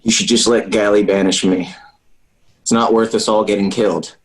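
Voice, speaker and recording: An actor playing an earnest, guilt-ridden young leader in a table read over a video call. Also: male voice, on the low side, fairly even intonation, moderate pace, lightly acted, low-quality recording. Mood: grave, guilty. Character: earnest, guilt-ridden young man leading trapped boys. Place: remote video call reading.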